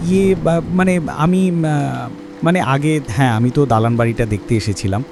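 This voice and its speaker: A man's voice: mid-range voice, lively intonation, fairly quick, vocal fry, background noise. A man playing a scheming, blustering estate steward in a melodramatic cartoon, stammering and repeating words when flustered. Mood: flustered, evasive. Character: scheming, blustering male estate steward who hoards grain. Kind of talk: melodramatic cartoon villain acting.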